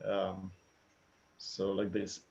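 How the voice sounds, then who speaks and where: A man with a Russian accent, Russian native, co-hosting a casual interview, speaking haltingly with many fillers. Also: deep voice, completely flat delivery, creaky, darkish tone. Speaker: co-host. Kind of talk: casual video-call interview. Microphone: video call. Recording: lo-fi video call audio.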